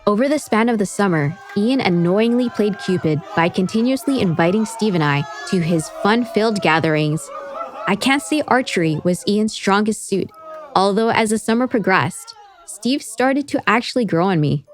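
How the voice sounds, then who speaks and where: A woman's voice: mid-range voice, lively intonation, moderate pace. A woman host telling a scripted story as narrator, with wry, chatty asides. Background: music behind the voice.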